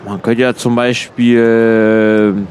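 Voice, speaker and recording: A man with a middle-pitched voice who speaks in a dry, deadpan, matter-of-fact way. He trades comic banter with friends. Faint noise sits in the background. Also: drawn-out words. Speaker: band member in a radio comedy. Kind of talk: improvised comedic banter.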